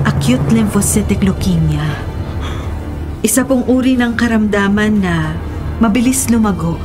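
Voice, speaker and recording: An actress playing a gentle, forgiving woman doctor with a polite, measured manner in a Filipino radio drama. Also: mid-range voice, lightly acted.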